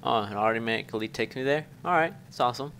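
A man's voice: medium pitch, fast, fairly bright tone, slight background noise. A man doing casual video game commentary, rambling and thinking aloud. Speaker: gameplay commentator. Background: game music.

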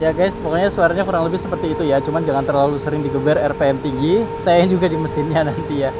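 A man's voice: a little high, very fast. A young man talking casually as a motorcycle vlogger, chatty and upbeat with lots of fillers, recorded outdoors on a helmet-mounted action camera. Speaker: motovlogger. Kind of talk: casual vlog talk. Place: outdoors by a street, riding a motorcycle. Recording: lo-fi, loud engine and wind noise.

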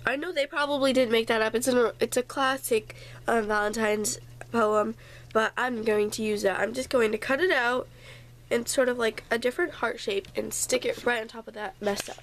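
High-voiced stuffy woman chatting thru a craft how-to at her table, faint pump hum behind. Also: fairly even intonation, normal speed. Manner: chatty, apologetic asides while working. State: congested, stuffy nose. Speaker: craft tutorial host. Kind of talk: casual craft tutorial narration. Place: home craft table, indoors.

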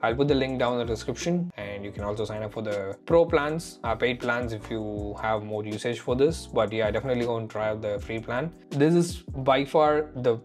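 A man's voice: mid-range voice, moderate pace, few pauses, soft background music. A young man with a mixed Indian and Canadian accent reviewing a tech tool in casual, filler-heavy chat from a home room. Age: young adult. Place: home room lit by neon lights.

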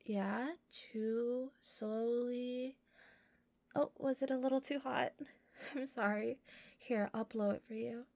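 A woman playing a shy, doting, possessive yandere captor in an intimate roleplay audio, softly and breathily. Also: a little high, steady tone, takes it slowly, no room sound. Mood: doting, gentle. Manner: soft, breathy, often whispering, giggly, stammering when flustered.

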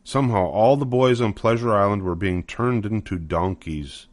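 An older man reads a children's story as its narrator. His voice sits fairly low and is recorded close and dry, with scripted storybook phrasing. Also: normal speed, dry recording.

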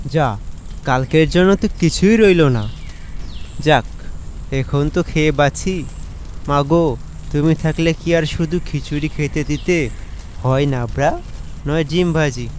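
Man acting grumbling slow-talking cartoon everyman. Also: fairly high pitch, darkish tone, a little hiss or hum. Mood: hungry, grumbling. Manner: talks to himself, exclaiming, sighing. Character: Sharif, grumbling poor bachelor office worker, kind-hearted. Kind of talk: animated folk tale voice acting.

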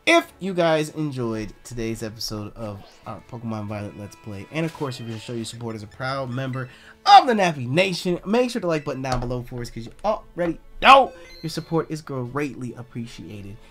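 A man doing let's play commentary on a video game. He is excitable, repeats catchphrases, swears a lot, and his voice is getting hoarse. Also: medium pitch, a little fast, soft background music. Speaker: gaming YouTuber.

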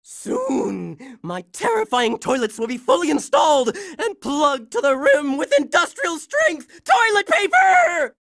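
A woman's voice: sits high, wildly swooping pitch, unhurried, few pauses, fairly bright tone, no room sound, raised voice, studio quality, full character voice. A woman voicing a bumbling, blustering, whiny supervillain in a cartoon video game. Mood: blustering menace.

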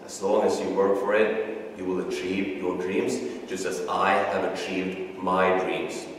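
A man's voice: low-pitched, little pitch movement, a little slow, a little hiss or hum. A middle-aged man with a Norwegian accent, a martial arts instructor, giving an earnest, emotional video tribute with frequent restarts in a studio. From Norway. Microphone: camera at a distance. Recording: echoey room, far from mic.

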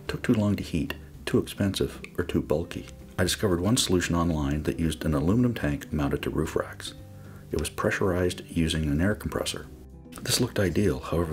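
Very deep, gravelly male narrator. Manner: measured, step-by-step explanatory delivery. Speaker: DIY builder narrating a project. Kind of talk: scripted voice-over narration.

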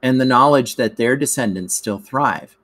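A man reads educational narration aloud like a teacher, in measured, careful phrases with emphasis at sentence openings. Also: medium pitch, fairly bright tone. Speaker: teacher reading a lesson aloud.

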